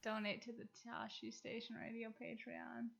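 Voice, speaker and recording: A woman's voice: a little high, completely flat delivery, moderate pace, creaky. A woman co-hosting a podcast in casual, conversational chat. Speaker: podcast co-host.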